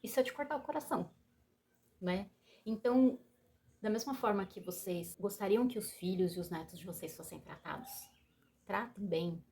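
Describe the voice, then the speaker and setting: A woman's voice: medium pitch, moderate pace. Chatty Brazilian woman vlogging. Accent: Brazilian Portuguese. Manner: chatty, swinging from excited to soft and earnest. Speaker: YouTuber. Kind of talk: casual chat to camera.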